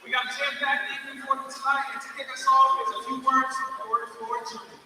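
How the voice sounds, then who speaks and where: A male arena announcer pitches his voice very high for hyped introductions, forceful and bright. It booms from far off through a heavily echoing PA system. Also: little pitch movement, fast, a little hiss or hum. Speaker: arena public address announcer. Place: large indoor basketball arena. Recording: booming echoey arena PA from far off.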